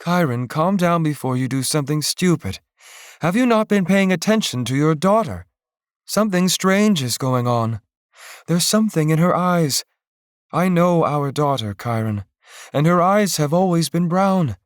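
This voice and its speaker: A man putting on a calm motherly woman. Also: a little high, normal speed, no echo, studio quality, pitched above the performer's own voice, natural voice. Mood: urgent. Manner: soothing, earnest pleading. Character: calm motherly village woman, steady and caring.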